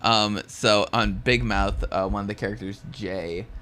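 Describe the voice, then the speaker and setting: A man's voice: fairly low pitch, steady tone, unhurried, bright, forceful tone, a little hiss or hum. A man co-hosting a casual podcast, laughing often and swearing while he tells stories. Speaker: podcast co-host. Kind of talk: casual chat.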